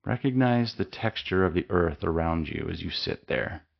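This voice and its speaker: A man leading a guided mindfulness meditation with slow, calm, soothing instructions and long pauses. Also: low-pitched, gravelly, highs cut off.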